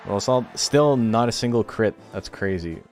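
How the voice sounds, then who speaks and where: Low-voiced man casually commentating a game. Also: lively intonation, moderate pace, darkish tone, soft background music. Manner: laid-back, wry, teasing chat. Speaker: streamer and tournament commentator.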